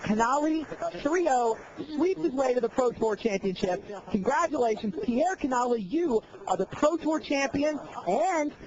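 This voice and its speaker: Male play-by-play caller: high, swooping, rapid delivery, muffled broadcast audio. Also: a crowd in the background. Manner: energetic, counting plays aloud. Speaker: play-by-play commentator. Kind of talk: live game commentary.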